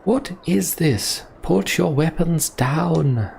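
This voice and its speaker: Man putting on a high, commanding sorceress. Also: takes it slowly, gravelly, darkish tone, slight background noise, heavily acted. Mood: outraged. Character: commanding female Valka mage, stern and urgent. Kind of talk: voiced video game dialogue.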